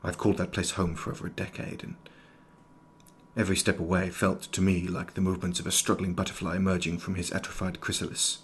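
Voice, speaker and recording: A man playing an erudite, formal nineteenth-century doctor in an audio drama, speaking in precise, ornate sentences with a husky voice. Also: low voice, flat delivery, quick pace, slight background noise. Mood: grave, introspective. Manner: precise, ornate, old-fashioned diction. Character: erudite, formal 19th-century doctor, inwardly fearful, sharp-eyed. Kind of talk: scripted audio drama, journal narration and dialogue.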